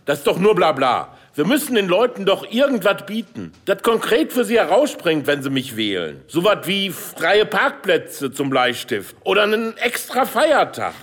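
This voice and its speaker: Brash, grumbling old would-be politician, high lively voice, blunt slangy delivery, satirical radio play. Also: male voice, moderate pace, hardly any pauses, exaggerated voice. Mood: blunt, defiant. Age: older. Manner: blunt, colloquial. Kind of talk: satirical radio drama acting.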